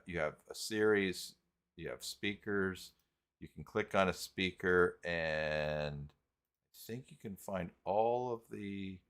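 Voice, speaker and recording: Low-pitched man hosting a casual live stream. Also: fairly bright tone. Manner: halting, thinking aloud while clicking around. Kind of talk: casual live tutorial talk, reading chat.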